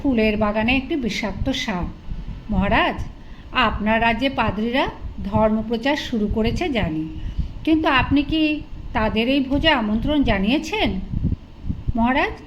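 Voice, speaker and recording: An earnest courtier in a storybook acted out by a woman counsels his king with respectful insistence and wariness. The voice is on the high side, with clear noise behind it. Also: unhurried, pauses often, close to an everyday voice, poor audio. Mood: wary, insistent. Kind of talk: audiobook character dialogue.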